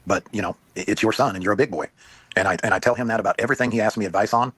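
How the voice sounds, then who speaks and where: A man's voice: lowish voice, rapid-fire, fairly bright tone, breathy edge. A man talking as a panelist in a casual online discussion.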